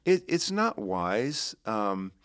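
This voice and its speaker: A man's voice: on the low side, very slow. A man who leads church worship, talking casually in a podcast chat, stammering a little as he thinks. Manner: animated, sings snippets and stammers when thinking. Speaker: worship leader.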